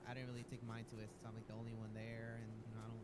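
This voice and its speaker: Stammering man over clear background noise. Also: lowish voice, completely flat delivery, very fast. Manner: stammering, hesitant, repeats words. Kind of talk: improvised roleplay game chat on a livestream. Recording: clearly noisy livestream game audio.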